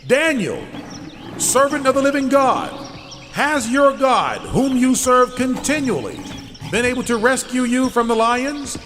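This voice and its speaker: A man's voice: very high-pitched, sing-song, unhurried, a bit bright, a little hiss or hum, exaggerated voice. A man playing an impassioned, declamatory king in a dramatized audio Bible, with music behind. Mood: anguished. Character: anguished, commanding king issuing royal decrees.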